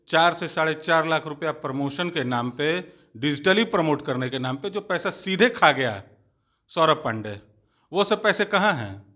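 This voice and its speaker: Accusatory, higher-voiced male party spokesperson. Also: pushed and bright. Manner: accusatory, emphatic, scornful of rivals. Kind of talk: combative political interview answers.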